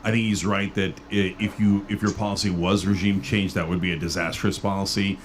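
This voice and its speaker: A man's voice: sits low, brisk, slight background noise. A man from Turkey, a political commentator, talking as a guest in a casual podcast conversation. He acts out bits of dialogue as he tells stories. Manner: emphatic, animated storytelling with mock dialogue.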